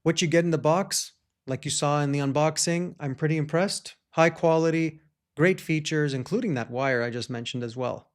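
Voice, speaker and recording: A man's voice: on the high side, normal speed. A man hosting a gear review, talking in an enthusiastic, chatty way with small restarts, recorded on a shotgun microphone. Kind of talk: product review talk.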